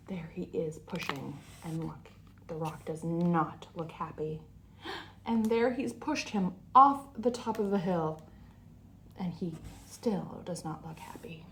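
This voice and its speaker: Unhurried woman reading a children's story. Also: lowish voice, slight background noise. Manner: animated, deliberate storytelling. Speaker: children's story time reader. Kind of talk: expressive storybook read-aloud with asides to children.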